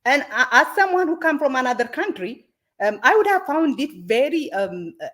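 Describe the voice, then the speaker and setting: A woman from Ethiopia, hosting a confrontational interview and asking pointed, accusing questions between many halting fillers. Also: high-pitched, big pitch swings, fairly bright tone. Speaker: host.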